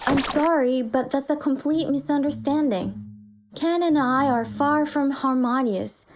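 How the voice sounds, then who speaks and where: A woman's voice: high voice, measured pace, music in the background. A woman playing an indignant, fed-up working wife and mother in a manga dub, firm and articulate. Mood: firm, cold. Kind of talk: manga dub acting and first-person story narration.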